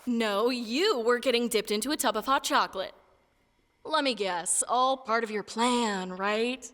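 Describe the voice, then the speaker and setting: A woman's voice: a little high, measured pace, fairly bright tone. A woman voicing a feisty, impatient raccoon vigilante in an audio drama, sarcastic and brusque. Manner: snappy, sarcastic. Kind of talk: audio drama cartoon-like acting.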